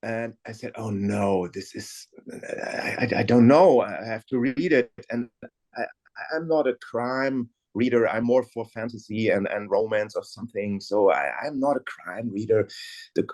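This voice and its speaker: An older man, an audiobook narrator, chatting casually in an interview in a lively but hesitant way and often searching for words. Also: fairly low pitch, a little slow.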